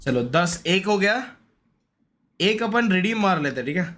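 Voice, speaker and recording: A man's voice: high-pitched, lively intonation, unhurried, fairly bright tone. A man giving casual, slangy live gaming commentary, often repeating short pleading phrases. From India. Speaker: gaming streamer.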